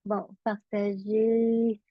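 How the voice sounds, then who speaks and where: A woman's voice: medium pitch, level tone, very slow, no echo, muffled recording. A middle-aged woman, originally from Vietnam, recording a casual numerology webinar as a coach, speaking hesitantly with frequent fillers and restarts.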